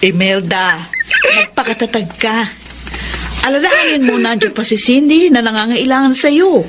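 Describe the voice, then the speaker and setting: Actress as a sympathetic Filipina confidante, fairly high. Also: female voice, lively intonation, moderate pace, noisy background, lightly acted. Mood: consoling. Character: indignant, sympathetic female confidante, consoling. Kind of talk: Filipino radio melodrama acting.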